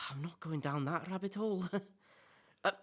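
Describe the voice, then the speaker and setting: A man's voice: on the high side, slow, a bit bright. A man playing a polite, eager-to-please podcast host in a comic audio play, hesitant, apologetic and nervously deferential. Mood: patient, exasperated.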